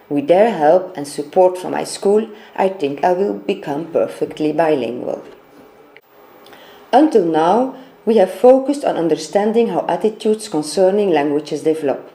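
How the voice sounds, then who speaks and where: A woman who speaks natively delivers a measured, explanatory training lecture. Her voice is low and soft-edged, with lively rises and falls in pitch. She reads from a prepared script as she teaches. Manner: measured, explanatory, reading quotes aloud. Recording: roomy, echoing voice-over recording.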